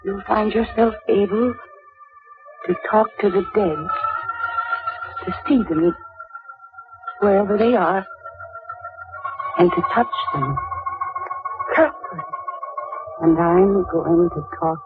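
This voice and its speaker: A woman's voice: mid-range voice, expressive, slow pace, pauses often, over music. An actress playing an intense, haunted young woman in an old-time radio drama, speaking with theatrical suspense. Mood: intense, defiant. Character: intense, haunted young woman seeking her dead father's truth. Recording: tinny, narrow-band old radio recording.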